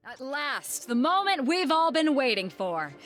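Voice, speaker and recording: A woman playing an excitable, dramatic tournament announcer in a video game. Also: sits high, unhurried, full character voice. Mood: rousing. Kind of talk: video game character acting. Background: game music.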